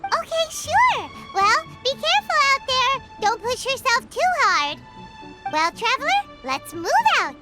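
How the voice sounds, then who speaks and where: A woman voicing a tiny, childlike, eager fairy companion in a video game, squeaky and perky. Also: very animated, measured pace, full character voice. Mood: cheerful. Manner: perky, refers to self in third person. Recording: music behind the voice.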